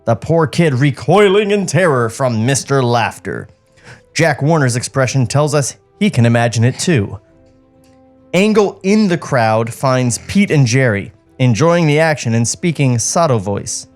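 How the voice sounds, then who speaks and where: A man's voice: mid-range voice, animated, unhurried, faint music behind. A man co-hosting a podcast, reading script directions aloud with sarcastic asides.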